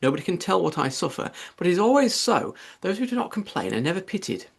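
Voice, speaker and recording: A man's voice: sits high, big pitch swings, quick pace, vocal fry, slightly rough, pitched above the performer's own voice, heavily acted. A man voicing a fretful, self-pitying middle-aged mother in an audiobook, complaining in an agitated, melodramatic way. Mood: scolding, aggrieved.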